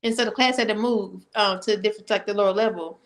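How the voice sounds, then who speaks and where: An American woman hosting an interview over a video call, talking in a friendly, halting way with fillers. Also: mid-range voice, steady tone. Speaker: podcast host. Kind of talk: casual interview chat.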